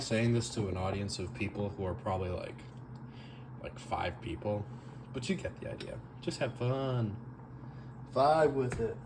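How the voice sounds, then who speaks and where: A man's voice: medium pitch, darkish tone. Rambling young man in a noisy kitchen. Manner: self-deprecating, rambling, filler-heavy. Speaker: home cooking vlogger. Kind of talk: casual rambling cooking vlog. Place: home kitchen, moving around the stove. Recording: noisy home recording.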